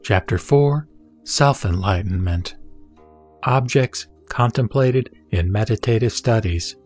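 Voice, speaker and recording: Low voiced guy reading sutra like an audio drama narrator, solemn n measured, soft music under it. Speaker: narrator and reader of scripture.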